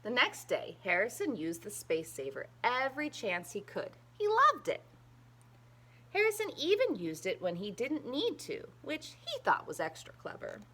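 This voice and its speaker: Very animated woman reading a children's story. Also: on the high side. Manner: expressive, emphatic storytelling with drawn-out phrases. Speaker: read-aloud storyteller. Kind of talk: children's picture book read-aloud.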